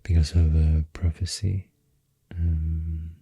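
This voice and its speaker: A man with a Swedish accent speaking in a soft, breathy near-whisper, rambling in an unhurried, hesitant ASMR explanation. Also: very deep voice, level tone, dry recording, dull audio. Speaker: ASMR creator. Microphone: close-up ASMR microphone.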